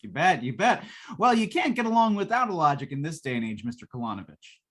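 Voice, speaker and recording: A woman's voice: sits low, very animated, fast, fairly bright tone, exaggerated voice. A woman playing a folksy, long-suffering salesman in a 1950s-style radio drama, giving colloquial, patient sales patter. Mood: affable.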